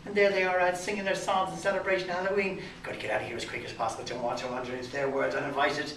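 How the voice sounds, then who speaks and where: A man's voice: a little high, level tone, rapid-fire, fairly bright tone. A man doing an eager Irish hunchback. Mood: alarmed. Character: kind humble hunchbacked man, anxious and eager. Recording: roomy, distant mic, background noise.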